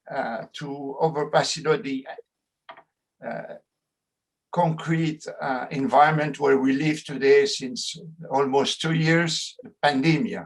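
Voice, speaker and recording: A middle-aged sociologist with a French accent gives a lecture over a video call. He speaks slowly in a slightly high voice, pausing and restarting often as he searches for words in English. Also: husky. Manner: slow, halting, many fillers and restarts. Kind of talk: online lecture.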